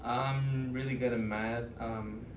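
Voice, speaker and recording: A young man in his early twenties from Ecuador, answering interview questions in a thoughtful, hesitant way with many pauses and fillers. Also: medium pitch, steady tone, reverberant, recorded from a distance, background noise. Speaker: student interviewee.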